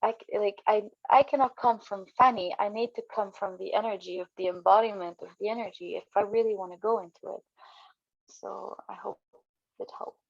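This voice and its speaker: A woman's voice: medium pitch, steady tone, a little fast, gravelly, a little mellow, no echo, lo-fi sound. A woman sharing her experience of energy work casually, with many fillers and quotes of her own thoughts. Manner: chatty, full of "like" and self-quotes.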